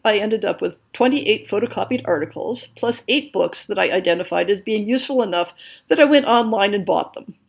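A woman hosting a podcast, reading a scripted monthly update in a measured way. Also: medium pitch, creaky. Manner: measured, reads lists of titles and blurbs. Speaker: podcast host.

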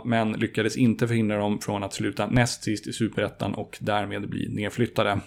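A man's voice: medium pitch, vocal fry, darkish tone. A male podcast host reading a scripted introduction before an interview. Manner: reads a prepared introduction.

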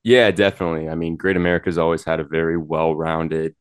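Very deep-voiced man, flat and dry. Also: fast talker, clear, resonant tone, no room sound. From Wisconsin, US. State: a little sick. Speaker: guest. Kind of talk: casual interview chat.

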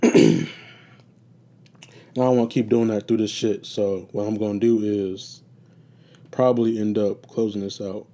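A young man hosting a solo casual talk show, rambling with loose, profane chatter and many fillers, his voice getting raspy and sleepy. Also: fairly low pitch, steady tone, measured pace, pauses often, warm tone. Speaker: podcast host.

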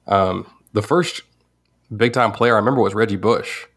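Low-voiced man in his twenties. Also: moderate pace, fairly bright tone. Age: 20s. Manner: rambling, many restarts. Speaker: podcast co-host. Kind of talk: casual sports chat.

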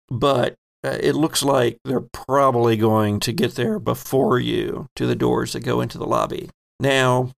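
A man narrating a tabletop roleplaying game as game master, halting as he builds dramatic descriptions. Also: medium pitch, gravelly.

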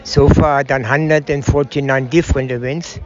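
South African accent, older man, excited, repeats himself, muffled outdoor audio. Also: medium pitch. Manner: excited, halting, repeats words. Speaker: interviewee, parkrunner. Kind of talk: outdoor interview. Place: outdoors at a park.

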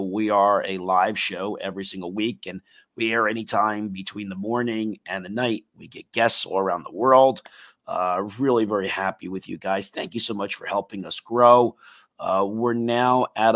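A man hosting a live tech talk show, his voice a little raspy and tired, rambling with many fillers and restarts. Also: lowish voice, fairly bright tone.

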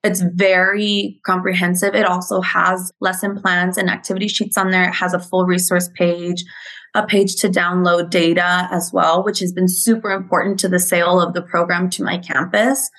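A woman's voice: lowish voice, level tone, normal speed, fairly bright tone. A Mexican-born woman teacher earnestly explaining a reading program in an interview. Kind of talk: interview conversation.

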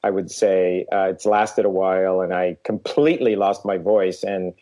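A middle-aged man recovering from a cold, speaking as a guest coach in a relaxed, steady way, with frequent fillers. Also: sits low, moderate pace. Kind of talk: casual interview talk.

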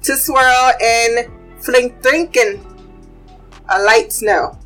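A woman's voice: on the high side, very slow, fairly bright tone, faint music behind. A woman hosting a casual podcast, running through celebrity gossip and fun facts, chatty and blunt, swearing freely. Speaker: podcast host. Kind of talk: casual pop culture chat and fun facts.

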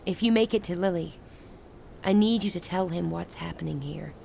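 An actress playing a grave, resolute woman who leads a besieged rebel city in a radio play, speaking in measured, formal lines. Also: on the low side, vocal fry, mellow, slight background noise. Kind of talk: dramatic radio play dialogue.

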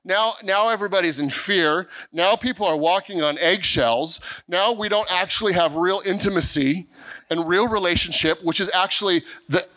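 A middle-aged man preaching with a high, bright, forceful voice. He speaks earnestly and stumbles over repeated words as he builds each point. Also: normal speed, low-quality recording. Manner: earnest preaching with frequent restarts and stammered repeats; acts out quoted voices of people he describes. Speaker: pastor. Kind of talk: sermon.

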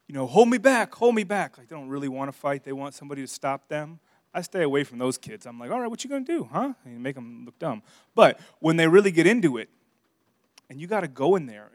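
A man's voice: mid-range voice, no room sound, studio quality. A man preaching through casual anecdotes in a joking, self-deprecating way. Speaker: preacher, also a school principal.

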